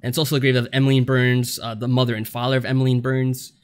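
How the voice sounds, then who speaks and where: A man co-hosting a podcast, explaining things casually in a measured, mild and hedging manner. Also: mid-range voice, little pitch movement, clean tone. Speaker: podcast co-host.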